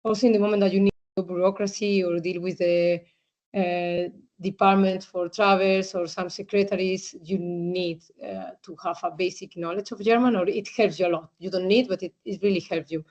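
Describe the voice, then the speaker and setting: A woman giving a talk as a guest speaker, halting often with fillers and restarts, in fairly fluent English. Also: on the low side, fairly even intonation, darkish tone. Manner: hesitant, many fillers and restarts. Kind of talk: online presentation talk. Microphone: video call. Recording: muffled video call audio.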